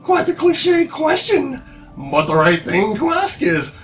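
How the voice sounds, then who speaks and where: A teasing, theatrical shrine spirit, acted out by a man, speaking in odd inverted phrases. The voice sits high and swings dramatically up and down. It is a little distant from the mic, with music underneath. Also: wildly swooping pitch, takes it slowly, full character voice. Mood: mysterious, playful. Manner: stilted inverted syntax, theatrical.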